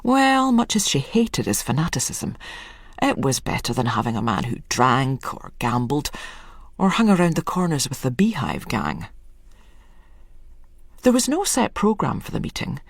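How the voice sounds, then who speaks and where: A narrator reads scripted literary fiction in a measured way. The voice is husky and moves expressively up and down in pitch. The sound is close and dry, with no room around it. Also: huge pitch swings, dry recording. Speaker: story narrator.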